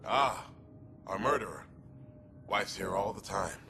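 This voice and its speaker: An actor playing a contemptuous jail guard in a video game, with an offhand, sarcastic delivery. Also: male voice, lowish voice, measured pace, music in the background, lightly acted. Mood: sneering. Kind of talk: video game cutscene acting.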